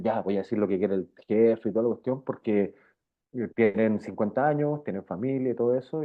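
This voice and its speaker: A Chilean man, middle-aged, speaking informally and with slang in a casual interview, often circling back over his phrases. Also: medium pitch. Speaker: interviewed journalist. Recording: thin, phone-like band-limited sound.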